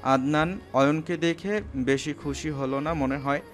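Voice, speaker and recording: A man's voice: a little high, fairly even intonation, a little slow. A man narrating a scripted romantic story, with music playing underneath.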